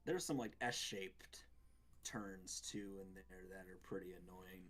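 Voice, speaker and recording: A man's voice: low-pitched, slow pace, fairly bright tone. A man co-hosting a gaming show, giving his take on games in casual conversation. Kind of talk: casual game review chat.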